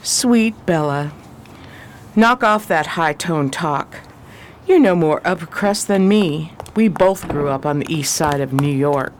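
A shrewd, steely adventuress played by a woman in a 1920s radio drama. She is commanding and slangy, with a somewhat low voice. The recording has faint background noise. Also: expressive, slow.

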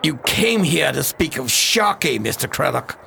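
Colonial governor for radio play, male actor: high, creaky voice, wry, theatrical, formal. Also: very animated, measured pace, bright, forceful tone, slight background noise, exaggerated voice. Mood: skeptical. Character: colonial governor, male, worldly and wryly amused. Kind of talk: radio drama acting.

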